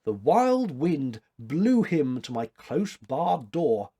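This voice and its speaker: A man's voice: fairly high pitch, big pitch swings, takes it slowly, mellow, husky, heavily acted. A man voicing an earnest, self-doubting young woman in a storybook, with a pleading manner. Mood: dispirited.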